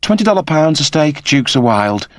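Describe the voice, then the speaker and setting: A man's voice: fairly high pitch, very animated, moderate pace, no room sound, clean studio sound, voice pushed up. A man voicing a confident young woman who is breezy, playful and teasing. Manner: casual, playful.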